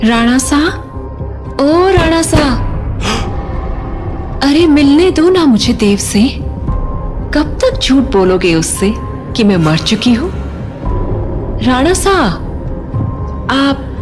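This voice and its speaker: A woman's voice: sits high, sing-song, extremely slow, long pauses, heavily acted. A woman playing a coaxing, pleading mother in a horror audio drama. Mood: eerie pleading. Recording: loud music under the voice.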